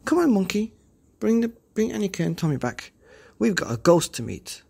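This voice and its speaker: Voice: medium pitch, big pitch swings, moderate pace, creaky, darkish tone, breathy edge, pitched above the performer's own voice, full character voice. A storyteller voicing a bold, tough little girl hero who bosses and scolds the bullies. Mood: bossy. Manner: bossy, scolding.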